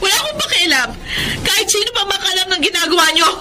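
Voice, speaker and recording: A woman's voice: very high voice, lively intonation, quick pace. A woman playing an aggrieved adult daughter in a Filipino radio drama, tearful, scolding and impassioned, over music. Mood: furious, tearful. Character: outraged adult daughter shaming her sinful mother.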